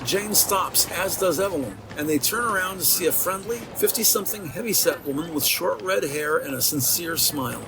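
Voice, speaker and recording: A man's voice: a little high, expressive, normal speed, vocal fry, few pauses, a crowd in the background, low-quality recording. A man narrating an audio drama from a script in a descriptive, theatrical storytelling manner. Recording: music behind the voice.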